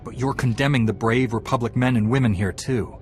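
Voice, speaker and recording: An actor playing a ruthless, self-righteous warrior knight in a video game, with a firm, commanding delivery. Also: male voice, medium pitch, brisk, a little mellow, close to an everyday voice. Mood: stern. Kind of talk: video game hero acting. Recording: game music under the voice.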